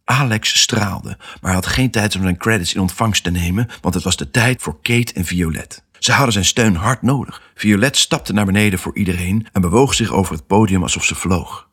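A man's voice: sits low, moderate pace, hardly any pauses, fairly bright tone, breathy edge. A man narrating a scripted children's story as its storyteller.

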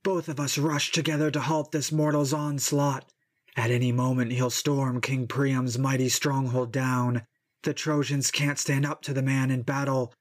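A vast, wrathful river god hurls booming threats, rising higher and louder than the storyteller's own voice, as a man puts him on in an epic reading. The delivery turns slow and heavy on the biggest images. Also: little pitch movement, lightly acted. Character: raging river god, male, vast and furious.

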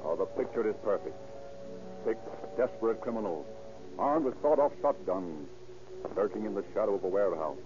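Dramatic American radio narrator, muffled vintage recording. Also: male voice, lowish voice, background noise. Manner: grave, declamatory, deliberate emphasis. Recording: muffled old radio recording, music behind.

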